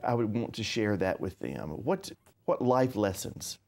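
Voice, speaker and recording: A man with an American accent hosting a conversational interview, speaking in a deliberate, slightly formal, phrase-by-phrase manner. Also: fairly low pitch, animated, gravelly, a little mellow. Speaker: interview host.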